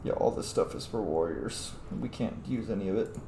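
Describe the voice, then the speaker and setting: A man's voice: on the low side, fairly quick, gravelly. A man chatting informally and with some swearing as he gives casual commentary on a game he is playing. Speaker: gameplay host, role-playing commentator. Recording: roomy echo, faint noise.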